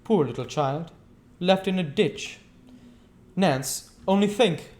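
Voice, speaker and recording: A man voicing a scheming old male gang master, oily and coaxing but quick to fury. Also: high-pitched, darkish tone, pitched above the performer's own voice. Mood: wheedling.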